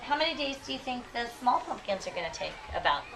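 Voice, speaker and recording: A woman teaching young children, reading aloud expressively and deliberately and checking their understanding with questions. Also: a little high, very animated. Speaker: elementary school teacher. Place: school classroom. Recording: noisy classroom, distant microphone.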